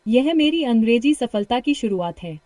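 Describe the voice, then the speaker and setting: A British-accented woman leads a speaking drill, cheering the listener on and repeating each practice line slowly and clearly. Her voice sits a little high. The sound is close and dry, with no room around it. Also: lively intonation, no room sound. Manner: warm encouragement, slow repeated practice sentences. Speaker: English speaking coach. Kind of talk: guided shadowing practice lesson.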